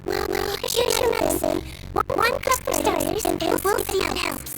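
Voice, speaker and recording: A woman voicing a small, gentle, playfully sly nurse in a video game, caring and politely teasing. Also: a bass voice, huge pitch swings, moderate pace, creaky, heavily acted, poor audio. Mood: gently firm. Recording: loud music behind the voice.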